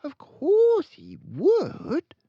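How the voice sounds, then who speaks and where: A young schoolgirl in a storybook, put on by a man, high and creaky. She sounds wide-eyed and indignant, drawing out her words with exaggerated swoops. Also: huge pitch swings, extremely slow, dry recording, highs cut off, full character voice.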